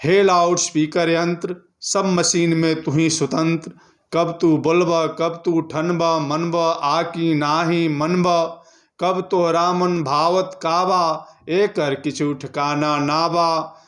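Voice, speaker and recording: A man from India, presenting and reciting poetry in a formal, deliberate, measured way. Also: fairly high pitch, flat delivery, a bit bright.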